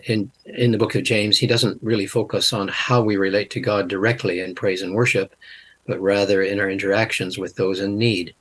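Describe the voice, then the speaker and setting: An older man with a low, husky voice and a mixed international accent. He speaks as a podcast guest, thoughtful and halting, with frequent "uh" pauses between phrases. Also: a bit bright, low-quality recording. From Canada. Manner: hesitant, many uh pauses. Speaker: guest, linguistics teacher. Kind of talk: interview answers.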